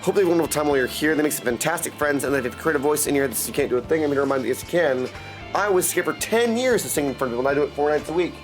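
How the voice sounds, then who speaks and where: A high-voiced man hosts a game livestream over soft music. He talks casually to his viewers, jumping from one reply to the next and leaning on catchphrases. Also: animated, rapid-fire. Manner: chatty, hops between chat replies, repeats catchphrases. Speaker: livestream host playing a video game; voice actor and audiobook narrator. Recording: music playing behind the voice.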